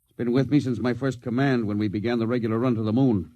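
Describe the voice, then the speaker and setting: A male actor as a stern spaceship captain in a 1950s radio drama. He talks in clipped, commanding phrases at a middling pitch, in a very dry studio sound, with gruff fondness underneath. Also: fairly even intonation, quick pace, warm tone, dry recording, close to an everyday voice. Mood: regretful, fond. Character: stern middle-aged spaceship captain, gruff but fond of old crew.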